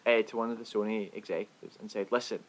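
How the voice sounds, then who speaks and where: A man speaks as a podcast co-host in a casual review chat. His voice sits at a middle pitch, and he explains his opinions plainly and at some length. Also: fairly even intonation, quick pace.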